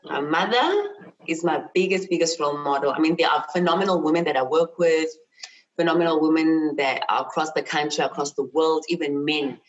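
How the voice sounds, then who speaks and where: Interview guest: woman, South African accent, low pitch, earnest and emphatic, personal storytelling with repeated phrases for stress. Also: fairly quick, dull audio, soft background music. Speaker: university chemist and lecturer, interview guest.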